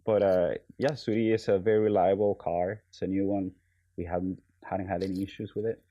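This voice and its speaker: A man from Venezuela, a podcast guest, talking in a relaxed, hesitant way with many pauses and fillers. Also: sits low, dry recording, lo-fi sound. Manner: hesitant, many ums and uhs, soft trailing phrases. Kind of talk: relaxed conversational interview.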